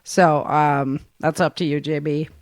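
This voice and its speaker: A woman's voice: low-pitched, steady tone, a little slow, a little mellow, no room sound, studio quality. A woman hosting a podcast in casual chat, with an informal, rambling, giggly way of talking. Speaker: podcast host.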